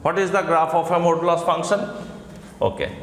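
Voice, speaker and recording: A man with an Assamese Indian accent, a native Assamese speaker, teaching a mathematics class in short, repeated phrases with pauses between them. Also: fairly high pitch, flat delivery, fairly bright tone. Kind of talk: classroom lecture in English with some Assamese. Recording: echoey reverberant room with background noise.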